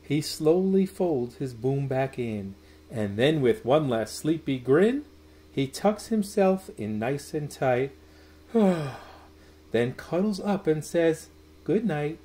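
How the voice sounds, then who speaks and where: Expressive, unhurried man reading a bedtime story. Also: a little high, husky. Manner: sing-song, drawn-out words with soft hushed goodnights. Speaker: picture book reader. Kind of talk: expressive read-aloud of a rhyming children's bedtime story. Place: small room at home.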